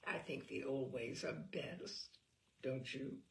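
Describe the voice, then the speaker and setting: Woman acting a prim, macabre spinster, slow-paced. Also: rumbling low, vocal fry, pitched below the performer's own voice, exaggerated voice. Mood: prim menace. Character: macabre old-fashioned spinster, primly chatty.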